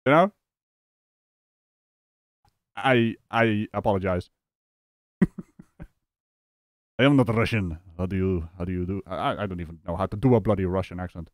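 A man from the Netherlands hosting a live stream, reacting to a clip with animated, mocking commentary and frequent laughs. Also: on the low side, no room sound, clean studio sound. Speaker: streamer host.

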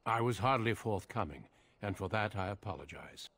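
A man's voice: lively intonation, normal speed, fairly bright tone, no echo. A man playing a grave, courteous middle-aged warrior commander in a fantasy video game, with formal, composed delivery. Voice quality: low, husky.

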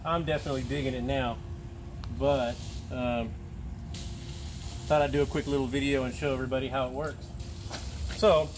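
A man's voice: mid-range voice, steady tone, normal speed, long pauses. A middle-aged man giving a casual, plain-spoken product walkthrough outdoors, picked up by a camera mic a few feet away. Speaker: custom cooker builder presenting his build. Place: outdoors in a gravel yard. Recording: clear outdoor background noise, vehicle ambience.

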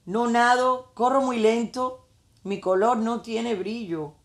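Woman voicing a downhearted little bulldog, slow-paced. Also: fairly high pitch, steady tone, pitched above the performer's own voice. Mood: dejected. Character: young female bulldog, insecure, curious and sorrowful.

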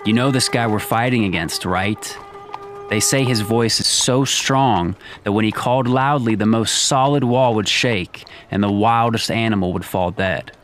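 A man's voice: fairly low pitch, normal speed, a little hiss or hum, lightly acted. A man playing a dutiful but sceptical soldier in a biblical radio drama. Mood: doubtful. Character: sceptical, reasonable Israelite soldier, dutiful subordinate.

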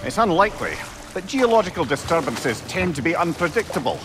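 An actor playing a wry, learned old talking head with a Scottish accent, dry and chatty. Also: male voice, a little high, very animated, a little fast, background noise.

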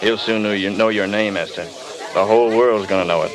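An actor playing a suave, worldly, flippant film star in old radio drama style. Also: male voice, fairly low pitch, steady tone, brisk, a crowd in the background, natural voice. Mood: reassuring. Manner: breezy, wry. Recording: old radio broadcast with audience noise.

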